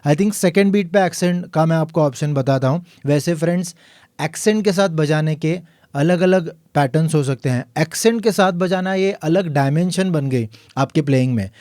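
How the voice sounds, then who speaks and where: A man teaching a piano lesson, explaining calmly and counting the beats aloud. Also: fairly high pitch, moderate pace, no room sound, clean studio sound. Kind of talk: tutorial lesson.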